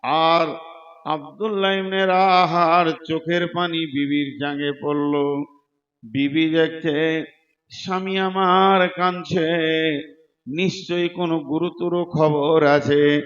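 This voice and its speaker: A man's voice: a little high, fairly even intonation, a bit bright. A man preaching an Islamic sermon, telling religious stories emphatically, drawing out words and slipping into a half-sung chant. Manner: slow, emphatic, half-sung delivery with drawn-out words and repeated phrases. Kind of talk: Islamic sermon with chanted Quran recitation and dramatic storytelling. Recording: dull, lo-fi sermon recording.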